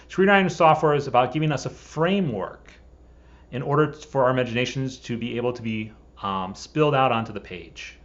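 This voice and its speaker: A man's voice: mid-range voice, a bit bright. A man hosting a scripted explainer video, speaking directly to viewers and posing rhetorical questions. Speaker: host of a screenwriting software channel. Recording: dull, lo-fi sound.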